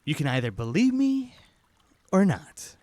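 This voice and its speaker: An actor playing a calm, confident young knight in an audio drama. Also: male voice, a little high, measured pace, no room sound.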